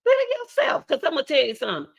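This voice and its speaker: A woman's voice: sits high, huge pitch swings, rapid-fire, creaky. A middle-aged woman, hosting her own channel and giving a preachy, repetitive motivational talk straight to her viewers. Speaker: channel host.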